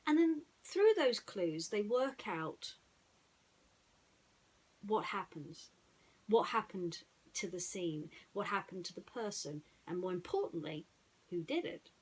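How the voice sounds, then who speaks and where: Woman, casual storyteller, medium pitch, lively melody, halting phrasing with repeats. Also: low-quality recording. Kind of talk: casual chat and bedtime storytelling.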